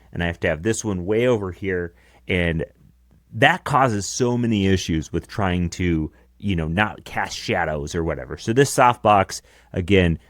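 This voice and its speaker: A man in his thirties with a deep voice, co-hosting a podcast in casual chat from a small home studio on a close broadcast mic. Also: dry recording. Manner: chatty, explaining his gear with casual asides. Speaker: podcast co-host. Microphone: close dynamic broadcast mic.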